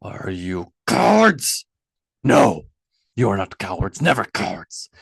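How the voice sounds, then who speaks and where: A man voicing a bold, commanding Norse warrior king who stresses each word loudly. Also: deep voice, sing-song, takes it slowly, creaky, breathy edge, no echo, clean studio sound, exaggerated voice. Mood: defiant.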